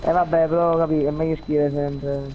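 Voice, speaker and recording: A man's voice: on the high side, flat delivery, brisk, dark tone, lo-fi sound, a little hiss or hum. A man chatting casually in online game roleplay over voice chat. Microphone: gaming voice chat.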